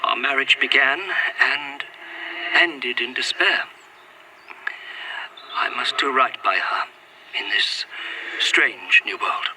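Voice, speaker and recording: An actor playing a formal, melancholy Victorian gentleman with an English accent, speaking in an old-fashioned, earnest way in a found-footage audio drama. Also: mid-range voice, slow pace, pauses often, distant mic, noisy background, lightly acted. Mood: sorrowful, earnest. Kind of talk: found-footage audio drama, recorded diary monologue and dialogue.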